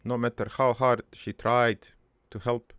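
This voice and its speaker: A man playing a gruff, crude army veteran turned prankster, telling his regretful life story in a halting, matter-of-fact ramble for an improvised cartoon monologue. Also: medium pitch, flat delivery, a bit bright, no room sound, natural voice. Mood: somber, weary.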